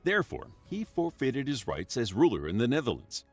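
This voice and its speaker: Male documentary narrator with a slightly husky voice and an animated delivery, over faint background music. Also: mid-range voice. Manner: even, measured reading. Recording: narration from a played video with music under it.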